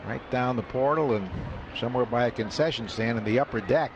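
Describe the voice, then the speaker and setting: A man's voice: medium pitch, normal speed. A man adding chatty, anecdotal color commentary to a baseball radio broadcast from a ballpark booth. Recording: muffled radio broadcast with crowd noise.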